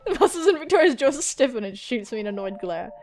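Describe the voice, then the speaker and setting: Excitable high-voiced young woman, very animated. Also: fast talker, soft background music. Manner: excitable, squealing, giggly, swearing. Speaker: gaming video host. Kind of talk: playthrough reading visual novel aloud with excited reactions.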